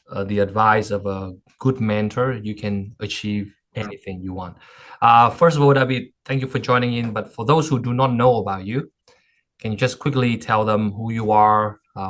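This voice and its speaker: A man's voice: lowish voice, a bit bright. A man hosting an interview podcast as a job coach, introducing his guest and asking questions. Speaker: host, job coach and company founder.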